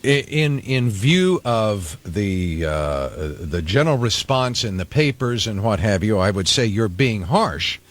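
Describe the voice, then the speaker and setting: A man's voice: lowish voice, lively intonation, a little slow, few pauses. A man anchoring local news on the radio, reading from a script in a studio.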